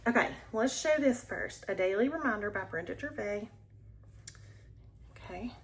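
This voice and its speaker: A woman's voice: medium pitch, lively intonation, moderate pace. A woman hosting a cross-stitch video, chatting casually and with enthusiasm about her projects, sometimes dropping to a near whisper. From Alabama, US. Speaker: cross-stitch channel host. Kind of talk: casual show-and-tell chat.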